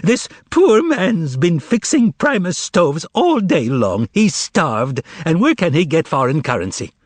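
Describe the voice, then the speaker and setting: A man's voice: on the high side, sing-song, unhurried, no room sound, pitched above the performer's own voice. A man voicing a sly, theatrical male con man with a thin, tremulous, quavering voice, sarcastic and wailing. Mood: wheedling. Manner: sarcastic, wailing, mock-indignant. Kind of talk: audiobook character voice.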